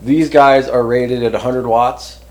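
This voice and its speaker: A man hosts a casual, hands-on review in an unscripted, conversational way. He rambles a little, stopping to reword his thoughts as he goes. His pitch sits in a middle range for a man. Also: fairly even intonation, darkish tone. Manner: conversational, rambling, often pausing to rephrase. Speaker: host reviewing CB radio antennas. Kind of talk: casual unscripted product talk.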